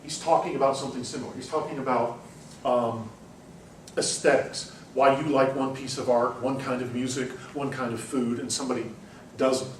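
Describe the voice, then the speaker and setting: A man's voice: mid-range voice, steady tone, moderate pace. A man holds forth on ideas in front of a seminar class, thinking aloud, with ums and self-corrections. His voice sits in the middle range and carries faint room hiss. He is heard from a distance in a reverberant classroom. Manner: discursive, with frequent ums and restarts. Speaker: university lecturer. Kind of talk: classroom lecture. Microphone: far room recording. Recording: echoey classroom from far off.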